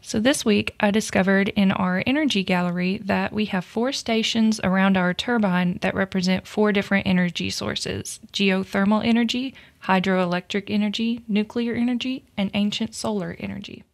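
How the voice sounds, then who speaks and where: A woman co-hosting a podcast, reading a clear, upbeat intro. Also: mid-range voice, steady tone, normal speed, few pauses. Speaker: podcast co-host.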